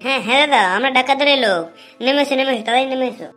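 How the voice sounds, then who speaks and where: A woman's voice: high-pitched, very fast, fairly bright tone, faint music behind. A woman voicing a grumbling, sly petty thief in a comic cartoon.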